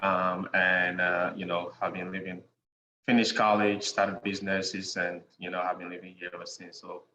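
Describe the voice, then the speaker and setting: A young man with a Ghanaian accent, a podcast guest chatting in a relaxed way, with many "you know" fillers and frequent chuckles. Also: sits low, normal speed. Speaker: guest, real estate investor. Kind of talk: casual interview conversation. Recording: dull lo-fi sound in an echoey room with faint noise.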